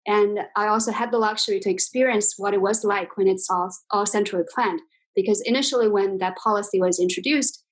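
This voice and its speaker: A woman's voice: medium pitch, low-quality recording. A woman in her forties from China, speaking English with a Chinese accent as an interview guest, thoughtful and full of fillers and restarts. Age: 40s. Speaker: guest, exchange CEO. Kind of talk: interview conversation.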